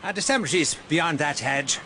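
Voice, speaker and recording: Droll, chatty Irish detective played by a man, highish animated voice, radio drama with noisy effects behind. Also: fairly quick, natural voice. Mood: sly, convivial. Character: genial, chatty, droll Irish male police inspector. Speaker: police detective. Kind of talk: naturalistic radio drama acting.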